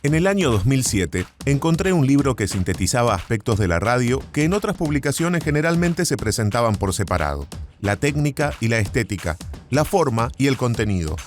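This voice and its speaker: A man's voice: medium pitch, sing-song, fairly quick. A man with an Argentine accent, a podcast host and interviewer who speaks in a courteous, appreciative, conversational way. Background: music bed.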